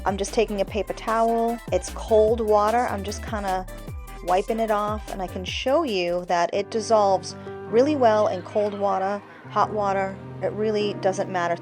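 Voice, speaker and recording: A woman hosting a homesteading channel, demonstrating a household task in a relaxed, frank way. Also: medium pitch, normal speed. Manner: relaxed, frank, a little defiant. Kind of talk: casual how-to demonstration. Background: faint music.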